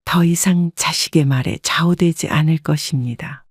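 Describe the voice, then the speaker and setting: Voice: mid-range voice, normal speed, husky, dry recording, studio quality. A narrator reading a scripted first-person story in a composed storytelling manner.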